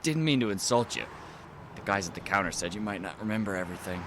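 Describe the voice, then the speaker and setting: A man's voice: fairly low pitch, animated, fast talker, noisy background. An actor playing a down-on-his-luck, menacing convict's son in an audio drama, with a streetwise, casual, insinuating manner.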